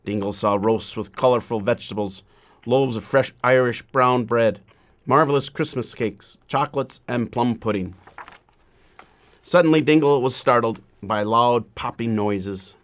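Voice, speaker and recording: A man with a medium-pitched voice reads a children's storybook aloud. He phrases deliberately and leaves frequent pauses, in the manner of a measured story-time reading.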